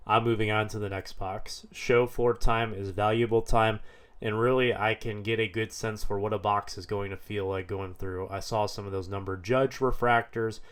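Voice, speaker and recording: A man with a head cold, slightly hoarse, narrating a vlog in a relaxed, matter-of-fact way. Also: fairly low pitch, normal speed. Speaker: card dealer vlogger.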